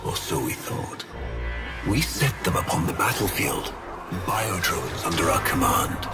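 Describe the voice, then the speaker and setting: An actor playing a haughty, vengeful war leader in a sci-fi game, delivering a deliberate, grandiose monologue with theatrical pauses. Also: male voice, sits low, expressive. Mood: grim, ominous. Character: haughty, vengeful male war leader making a grim confession. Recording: loud music behind the voice.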